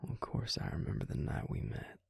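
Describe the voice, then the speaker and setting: A man playing a gentle, protective vampire with a Southern US accent in an intimate audio roleplay, speaking in a breathy, gravelly, soothing half-whisper. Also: no echo, studio quality, close to an everyday voice. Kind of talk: intimate scripted audio roleplay, addressing the listener.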